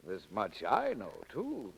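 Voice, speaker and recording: An actor playing an impatient, mocking kidnapper and interrogator in 1940s radio drama style, with theatrical, threatening, formal phrasing. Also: male voice, fairly low pitch, vocal fry, breathy edge, exaggerated voice, poor audio. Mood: menacing.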